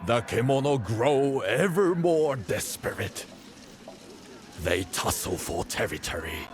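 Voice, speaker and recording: An actor playing a solemn, brooding male mystic who speaks gravely in a fantasy video game. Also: on the low side, exaggerated voice. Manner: grave, portentous. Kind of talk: video game cutscene acting.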